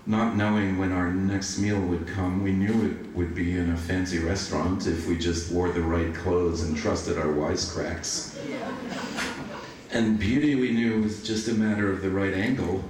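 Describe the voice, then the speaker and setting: A man with a low voice reads poems aloud at a slow, measured pace. He is heard from far off in a very echoey room. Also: steady tone, background noise. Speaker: poetry reader. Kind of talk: live poetry reading at a book launch. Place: bookshop event, recorded from a distance.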